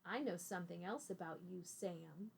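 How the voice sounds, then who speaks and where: A woman's voice: on the low side, moderate pace, vocal fry, a little hiss or hum. A woman voicing a bossy, knowing blind man in a read-aloud storybook, snappish and challenging. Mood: knowing, teasing.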